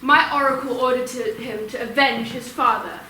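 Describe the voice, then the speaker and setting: Actress as commanding tragic deity, fairly high forceful voice, emphatic declamation, echoey space. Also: female voice, steady tone, normal speed. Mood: indignant, commanding. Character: proud, authoritative god, protective of follower, contemptuous of Furies. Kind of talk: Greek tragedy stage acting. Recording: echoey room, distant mic, faint noise.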